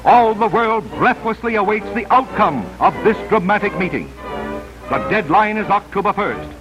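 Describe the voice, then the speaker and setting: High-pitched dramatic 1930s newsreel man. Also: animated, normal speed, poor audio. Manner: dramatic, solemn declamation. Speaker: newsreel narrator. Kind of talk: 1930s newsreel narration, scripted. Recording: vintage 1930s newsreel soundtrack. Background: newsreel music.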